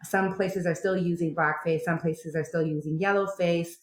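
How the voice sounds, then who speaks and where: A Canadian woman with a slightly low voice talks animatedly as a guest on a podcast. Her speech is loose and chatty, packed with fillers. The recording sounds roomy and echoey. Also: fairly even intonation, normal speed. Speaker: opera singer guest. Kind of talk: casual podcast interview. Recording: roomy echo.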